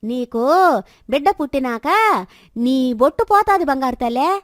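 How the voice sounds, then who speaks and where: A woman's voice: sits high, animated, moderate pace, no echo, studio quality. A woman voicing a wandering village fortune-teller who coaxes in rustic dialect with endearments and solemn prophecies in a moral story. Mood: solemn, prophetic.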